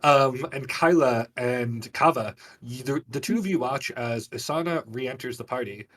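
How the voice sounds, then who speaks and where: A man running a tabletop game as game master, improvising narration in animated, conversational storytelling. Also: mid-range voice, moderate pace.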